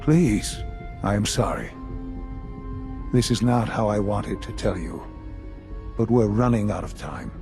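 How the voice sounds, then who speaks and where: An actor playing a grave older institute leader in a video game, speaking formally and deliberately with measured pauses. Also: male voice, fairly low pitch, animated, vocal fry, over music. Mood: grave, solemn. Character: grave older male leader of a secretive science institute, dying.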